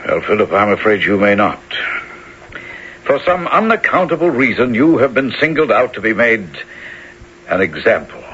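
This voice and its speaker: Older attorney: avuncular, grave, formal, forceful husky voice for radio drama, lo-fi recording. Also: male voice, on the low side, sing-song, moderate pace, heavily acted. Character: fatherly, grave older male attorney, concerned and dignified. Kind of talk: period radio drama acting. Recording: dull lo-fi old radio sound.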